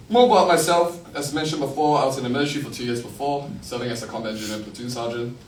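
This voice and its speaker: A man's voice: mid-range voice, far from the mic. A young man in his twenties from Singapore, with a British-leaning Singaporean accent, giving a wry, self-mocking campaign speech and answering questions with many fillers. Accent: Singaporean-tinged British English. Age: 20s. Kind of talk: joking election speech, then answering questions. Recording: echoey room, from afar, noisy.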